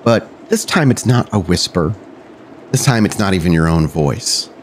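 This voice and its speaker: A man's voice: low-pitched, animated, moderate pace, slight background noise. A man working as a game master, narrating a tabletop roleplay podcast with dramatic, cinematic scene-setting.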